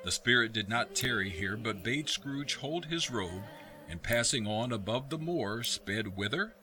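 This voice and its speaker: A man narrating a radio play in a measured, expressive, literary storytelling style. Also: mid-range voice.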